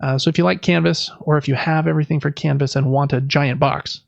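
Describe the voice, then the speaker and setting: Guy on a hobby podcast, voice a bit high, kinda rambly and informal, slightly dull lo-fi mic. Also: steady tone, quick pace, gravelly, no room sound. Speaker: podcast host. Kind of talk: casual board game podcast chat.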